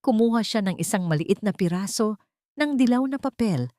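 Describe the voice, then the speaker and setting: A woman's voice: mid-range voice, lively intonation, normal speed, warm tone, dry recording, clean studio sound. A woman narrating a scripted story in a dramatic style.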